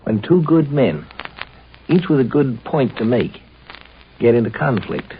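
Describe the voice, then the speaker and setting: A man's voice: medium pitch, expressive, a little slow. A man with an American accent hosting a 1950s radio drama series with reflective commentary. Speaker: host and narrator. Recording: tinny 1950s radio, faint noise.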